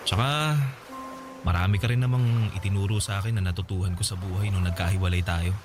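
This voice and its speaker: A man playing a mildly hurt but forgiving former boyfriend in a Tagalog radio drama, talking in a calm, reflective, conversational way. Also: low voice, brisk, lightly acted. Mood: reflective, earnest. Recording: music bed behind the voice.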